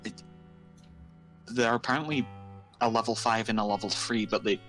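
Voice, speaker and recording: A man improvising game roleplay in a hesitant, halting way, with frequent false starts. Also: fairly low pitch, steady tone, fairly bright tone, faint music behind. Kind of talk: improvised Minecraft roleplay chat.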